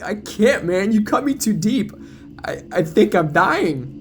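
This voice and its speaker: A man's voice: high-pitched, big pitch swings, measured pace, soft background music, voice pushed up. Man playing a whiny, wounded young killer sidekick. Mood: pained whining. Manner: whiny, slangy, pleading.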